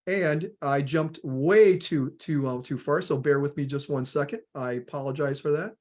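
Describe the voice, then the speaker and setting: Energetic Southern man presenting a webinar. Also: a little high, moderate pace. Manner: energetic, repeats words, addresses audience as guys.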